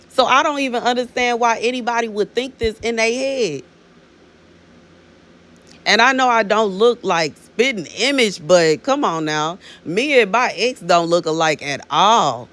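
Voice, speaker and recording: A woman's voice: mid-range voice, a bit bright. A woman in her thirties giving a casual, rambling spiritual talk to her viewers, often filling with "you know what I'm saying" and calling them "baby". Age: 30s. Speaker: minister sharing prophetic words.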